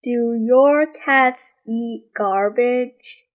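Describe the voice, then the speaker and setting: A woman plays a serious boy detective in a children's storybook. The voice is deadpan and matter-of-fact, drawing every word out extremely slowly. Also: a little high. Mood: deadpan, deliberate. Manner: deadpan, deliberate. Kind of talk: storybook character reading.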